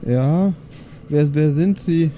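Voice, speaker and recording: Guy as a groggy dwarf prisoner, kinda high mellow voice, confused and sweet. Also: takes it slowly, dull audio, slight background noise. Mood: bewildered. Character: groggy, good-natured male dwarf prisoner.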